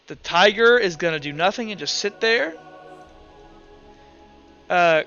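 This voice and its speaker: A man's voice: high-pitched, expressive, measured pace, sharp tone, dull audio. A man running a tabletop roleplaying game, narrating off the cuff in an informal, thinking-aloud way. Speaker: game master. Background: background music.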